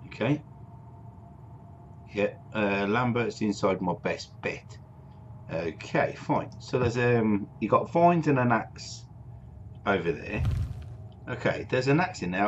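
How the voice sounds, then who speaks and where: A man's voice: on the low side, animated, takes it slowly, long pauses, slight background noise. A man giving casual gameplay commentary, chatting informally and thinking aloud as he goes. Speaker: let's play gamer. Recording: dull lo-fi mic, loud music behind.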